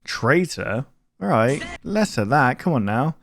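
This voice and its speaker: A man's voice: medium pitch, big pitch swings, a little slow, vocal fry, no echo. A man giving casual, rambling reaction commentary in a jokey, incredulous manner. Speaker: YouTuber reacting to horror videos.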